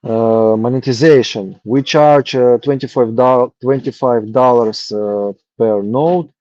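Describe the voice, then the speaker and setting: A man from Ukraine pitching his startup on a video call, halting and full of fillers. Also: darkish tone, no room sound. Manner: halting, many uh fillers and restarts. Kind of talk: hesitant online pitch. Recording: dull lo-fi call audio.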